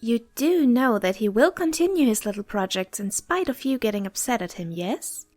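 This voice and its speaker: A woman's voice: a little high, animated, a little mellow, dry recording, soft background music. A woman acting a composed, reasoning goddess of life and death in a fantasy audio drama, articulate and challenging. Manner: articulate, challenging, measured wording.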